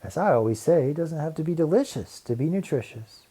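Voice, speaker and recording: Storybook lady teacher, cheerful and encouraging, lifted pitch, exclamatory played by a male reader. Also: animated, brisk, dark tone, husky. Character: cheerful, enthusiastic woman schoolteacher. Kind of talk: children's storybook read-aloud.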